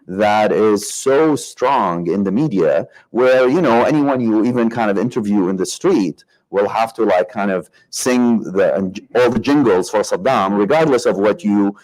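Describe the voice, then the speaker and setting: A man's voice: fairly low pitch, animated, measured pace, few pauses, a little mellow, poor audio. A man from Iraq speaking on a discussion panel, reflective and searching for his words as he goes. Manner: searching, reflective, circles back to rephrase.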